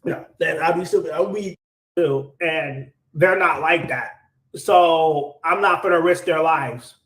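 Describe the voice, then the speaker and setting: A man's voice: a little high, measured pace, fairly bright tone, reverberant. A man talking casually and defensively to camera in a played clip, saying "bro" often.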